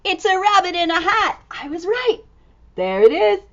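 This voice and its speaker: A woman reading a picture book aloud to young children in an expressive, exclaiming way, drawing out words and dropping into hushed asides. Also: extremely high pitch, moderate pace, lo-fi sound, slight background noise.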